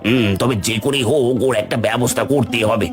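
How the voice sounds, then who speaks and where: A man's voice: mid-range voice, fairly even intonation, a little fast, lightly acted. A man playing a jealous, scheming village fish seller in a cartoon folktale, grumbling and exclaiming. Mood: grumbling, envious. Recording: music behind the voice.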